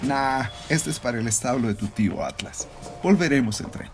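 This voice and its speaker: A man's voice: medium pitch, normal speed, music in the background, close to an everyday voice. A man voicing an affable, chummy tomcat father in a cartoon fan dub, with a Latin American Spanish accent. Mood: proud, fond. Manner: chummy, expressive. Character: breezy, affable, fast-talking tomcat father.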